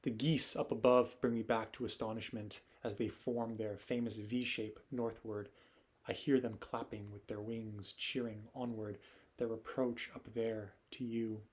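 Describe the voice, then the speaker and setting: Man performing a nostalgic wandering poet, low-pitched. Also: flat delivery, unhurried, close to an everyday voice. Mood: reflective. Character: nostalgic wandering male poet, reflective and yearning. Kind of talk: poetic dramatic monologue.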